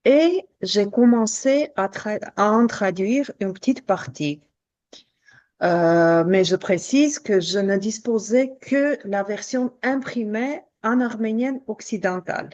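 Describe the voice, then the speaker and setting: A woman's voice: mid-range voice, slow pace, darkish tone, muffled recording. A woman from Armenia, a native Armenian speaker, talking carefully as a guest on a radio show, with a light laugh now and then. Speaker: translator.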